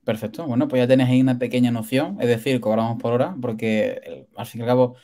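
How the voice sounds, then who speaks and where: A man's voice: medium pitch, fairly even intonation, quick pace, warm tone. A young man asking short, prompting questions on a video call from a home studio room.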